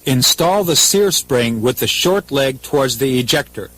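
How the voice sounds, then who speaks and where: A man's voice: medium pitch, expressive, a little slow, a bit bright, low-quality recording. A man with an American accent reading scripted instructions as a 1980s military training film narrator, in a formal, methodical, impersonal manner.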